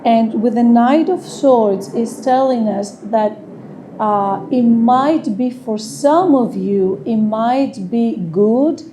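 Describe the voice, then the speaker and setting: A woman with a Greek accent giving a tarot reading, speaking hesitantly and searching for words with frequent pauses and fillers. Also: on the high side, dark tone, slight background noise. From Greece. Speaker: tarot reader. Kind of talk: slow, halting tarot reading with many fillers.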